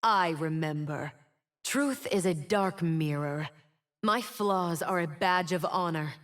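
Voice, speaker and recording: A woman's voice: lowish voice, a bit bright. An actress voicing a proud, wounded, immortal winged woman in a video game, speaking with solemn, dramatic defiance. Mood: wounded, defiant. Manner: slow, solemn, dramatic delivery. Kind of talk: scripted game character voice lines.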